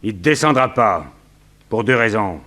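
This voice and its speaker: Bright, forceful gangster boss. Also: male voice, lowish voice, animated, moderate pace. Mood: threatening, bargaining. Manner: bossy, threatening, theatrically exasperated. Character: menacing, excitable male gang boss. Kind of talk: 1960s French radio crime serial acting.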